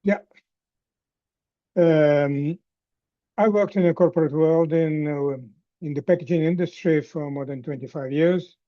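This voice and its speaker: A middle-aged or older man with a fairly high voice introduces himself on a video call. The sound is dry and slightly muffled. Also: unhurried, long pauses, dry recording. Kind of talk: self-introduction in an online meeting.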